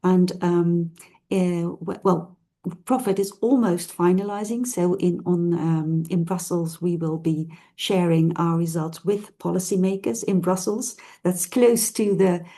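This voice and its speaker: A woman's voice: on the low side, fairly even intonation, a little slow, mellow. A middle-aged woman researcher being interviewed, speaking hesitantly with frequent fillers and restarts. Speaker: researcher guest. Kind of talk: casual interview talk.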